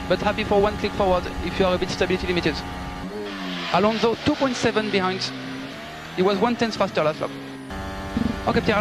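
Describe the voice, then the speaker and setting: A man working as a race engineer, giving polite, clipped technical instructions and gap updates over team radio. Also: high voice. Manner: polite, instructive, reporting numbers and gaps. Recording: noisy team radio with engine and vehicle noise. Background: loud car and engine noise.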